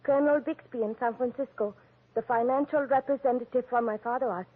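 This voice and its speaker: A woman's voice: fairly high pitch, fairly quick, breathy edge. A woman playing a blunt young Dutch bride in 1940s radio drama, with a Dutch accent and inverted foreign phrasing. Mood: matter-of-fact, insistent. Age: young adult. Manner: foreign, inverted word order, insistent. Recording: muffled old radio recording.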